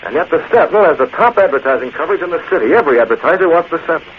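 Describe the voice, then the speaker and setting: Actor as a brisk, animated newspaper boss. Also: male voice, on the high side, close to an everyday voice. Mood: shrewd, urgent. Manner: firm, brisk, explanatory. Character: authoritative, shrewd newspaper boss explaining things confidently. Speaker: newspaper executive. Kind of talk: 1940s radio drama acting. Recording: tinny, hissy 1940s radio recording.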